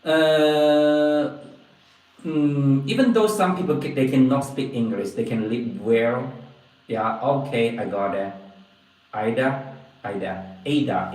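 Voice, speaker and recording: A man from southern China with a southern Chinese accent, a native Chinese speaker, hosting a casual livestream and replying to viewers, often halting and repeating phrases as he searches for words. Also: medium pitch, darkish tone. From Guangdong, southern China. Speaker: livestream host. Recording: very echoey room, distant mic.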